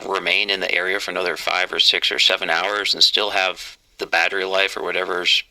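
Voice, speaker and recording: Low-voiced man with a bright, sharp tone. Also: little pitch movement, rough recording. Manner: measured, matter-of-fact, technical. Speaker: former military pilot giving testimony. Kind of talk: formal testimony and interview answers.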